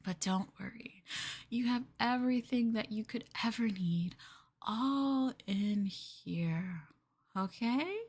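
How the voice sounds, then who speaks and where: Woman acting a slow, sweetly possessive yandere girlfriend. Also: on the high side, a little mellow, heavily acted. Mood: sweetly controlling. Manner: cooing, breathy asides, coaxing tag questions. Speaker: ASMR roleplay streamer. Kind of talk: ASMR girlfriend roleplay, addressing the listener intimately.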